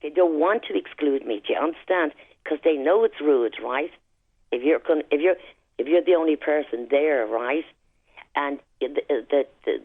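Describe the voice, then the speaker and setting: A woman's voice: low-pitched, slightly rough, no room sound. A middle-aged Irish woman calling a radio show, talking emphatically and often tagging "right?" and "you know". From Ireland. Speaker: caller. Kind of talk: phone-in call. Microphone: telephone line. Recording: tinny phone line.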